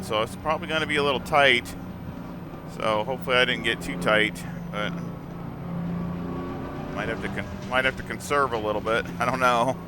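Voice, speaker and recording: Man, hoarse voice, casual rambling racing commentary, mid pitch, loud engine noise behind. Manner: relaxed, rambling, many fillers. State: hoarse, losing his voice. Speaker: gameplay channel host.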